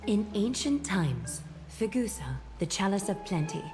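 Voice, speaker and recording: A woman playing a serene, solemn priestess in a video game, speaking slowly and formally with a breathy, sometimes whispering voice. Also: medium pitch, big pitch swings. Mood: solemn, instructive. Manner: slow, deliberate, formal and commanding. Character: serene, solemn priestess guiding a sacred water ritual. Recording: background music under game dialogue.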